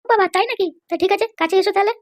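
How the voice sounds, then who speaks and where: Squeaky, fast, lovestruck cartoon bride, acted by a woman. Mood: delighted. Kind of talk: comedy cartoon dialogue.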